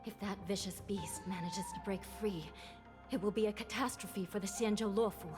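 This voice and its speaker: A woman's voice: medium pitch, steady tone, normal speed, natural voice. A woman voicing a grave, worried young female prison guard in a video game. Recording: loud game music behind.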